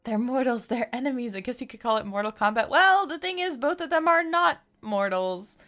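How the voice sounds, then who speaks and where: A woman's voice: a little high, animated, a little fast, a bit bright. A woman chatting casually on a live game stream, explaining things in a rambling, chatty way over game music.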